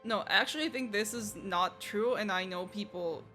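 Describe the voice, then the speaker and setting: Casting: young female game streamer, mid-pitched, blunt, slangy live reactions with faint music underneath. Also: moderate pace, bright, forceful tone. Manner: slangy, blunt, muttered asides. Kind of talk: casual live gameplay reaction.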